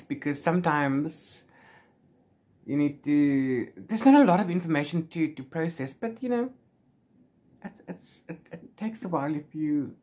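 A man's voice: a little high, a little mellow. A man in his forties chatting casually to camera as a book vlogger, playful and rambling, often correcting himself, recorded at arm's length in a room at home. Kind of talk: casual unscripted chat to camera. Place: small room at home with bookshelves.

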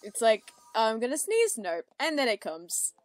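A woman with an alto voice giving excitable, spontaneous reaction commentary. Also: on the high side, unhurried, no echo. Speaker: commentator reacting to a fan animation. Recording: music under the voice.

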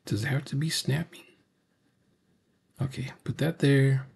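Husky, slow-talking older man reacting casually. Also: mid-range voice, fairly even intonation, a little mellow. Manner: muttering asides, talking to himself. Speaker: reaction video host. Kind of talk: casual off-the-cuff reaction commentary. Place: cluttered home room, indoors.

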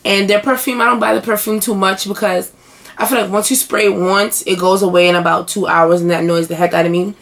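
A young woman vlogging in a small bedroom, hoarse and chatty as she answers tag questions to her webcam. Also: medium pitch, fairly quick. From United States. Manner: chatty, animated hand gestures. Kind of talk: casual chat.

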